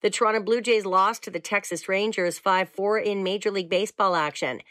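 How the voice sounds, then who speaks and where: A woman reading a scripted newscast in an even, measured way. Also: medium pitch, no echo, clean studio sound. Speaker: newsreader.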